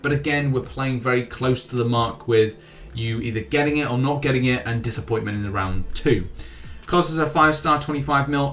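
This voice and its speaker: A man of middle pitch hosts a gaming news roundup in a relaxed, chatty way. He often restarts phrases and runs through lists of scores and rankings one after another.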